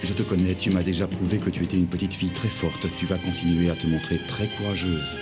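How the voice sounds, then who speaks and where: A man voicing a loving gentleman father in a dubbed cartoon, formal and affectionate. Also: low-pitched, level tone, quick pace, over music, lightly acted. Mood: tender. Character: loving, courteous gentleman father of a little girl.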